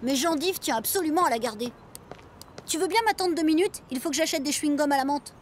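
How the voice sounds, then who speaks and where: A woman's voice: high voice, fast talker, close to an everyday voice. A woman voicing a pompous, dramatic young boy in a French cartoon, with a wordy, affected manner. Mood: pompous, aggrieved. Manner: wordy, affected, formal phrasing. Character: pompous, dramatic, precocious well-bred boy cousin. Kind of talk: French animated series acting.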